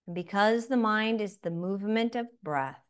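A woman guiding a breathing meditation, speaking softly with long pauses between phrases. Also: medium pitch, clear, resonant tone. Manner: slow, soft-spoken, with long pauses. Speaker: meditation guide.

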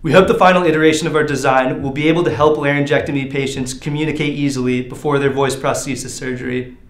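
A young man in his twenties delivers a scripted student project presentation. His voice sits at a middle pitch and his delivery is formal and measured. The room adds clear echo around his words. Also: steady tone. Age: 20s. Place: bare indoor room. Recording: roomy, echoey sound.

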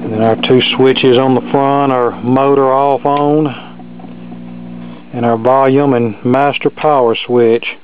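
A man's voice: medium pitch, fairly even intonation, takes it slowly, narrow, tinny audio. Man on thin, noisy camera audio. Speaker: hobbyist demonstrating restored vintage electronics. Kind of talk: casual demonstration narration. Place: cluttered indoor electronics workshop. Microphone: handheld camera microphone.

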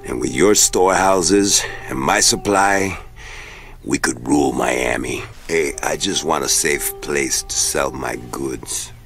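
A man playing a confident gravel-voiced Miami drug supplier in a crime video game. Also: slow, a bit bright, faint music behind, exaggerated voice. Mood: assured, conspiratorial. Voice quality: gravelly, very deep.

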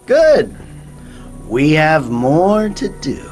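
An actor playing a coldly menacing tyrant in an audio drama, speaking deliberately and grandly with dramatic pauses. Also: male voice, a little high, wildly swooping pitch, a little mellow, faint music behind, heavily acted. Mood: cold menace. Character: ruthless male tyrant ruling by fear, coldly menacing. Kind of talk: audio drama villain monologue.

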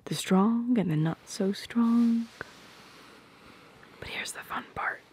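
A woman voicing a tender, playful, possessive girlfriend in an ASMR roleplay, speaking softly and intimately close to the microphone in a breathy, often whispered voice. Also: on the high side, slight background noise. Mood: soothing, tender. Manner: soft, intimate, unhurried, teasing. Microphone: close microphone, dry.

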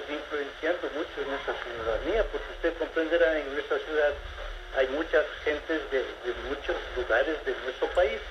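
A man in his seventies calls in, speaking politely and formally but wandering through his point with frequent restarts. His voice sits a little high, and the line sounds muffled and roomy, with a noisy background. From Ecuador. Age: 70s. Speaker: caller. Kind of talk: phone-in complaint to a radio show. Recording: echoey, muffled phone-in with background noise.

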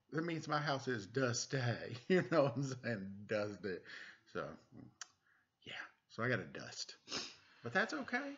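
A man in his forties doing a casual story time, chatting and reading aloud in a playful, expressive way. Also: mid-range voice, gravelly. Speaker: storyteller reading a picture book to children. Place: living room at home. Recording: dull, lo-fi webcam sound.

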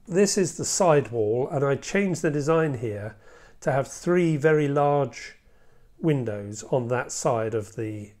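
Older man explaining, mid-pitched and hesitant. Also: darkish tone. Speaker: hobby video presenter. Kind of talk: casual hands-on model-making walkthrough. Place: indoor hobby room at a workbench.

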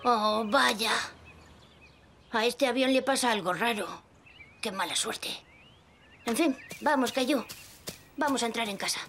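A woman's voice: a little high, unhurried, long pauses, fairly bright tone, slight background noise. A woman playing a cheerful, confident older boy in a Castilian Spanish children's cartoon dub, breezy and upbeat. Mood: disappointed.

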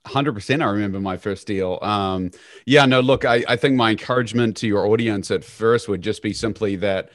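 A fairly low-voiced man, speaking as an interview guest. He talks in a relaxed, easygoing way, with plenty of "um"s. His voice comes through a close studio mic and sounds dry, with no room echo. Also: fairly quick. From New Zealand. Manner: relaxed, frequent "um" fillers, self-deprecating. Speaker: guest, real estate CEO. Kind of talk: conversational storytelling interview. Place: small home office, indoors. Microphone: studio mic on boom arm, video call.

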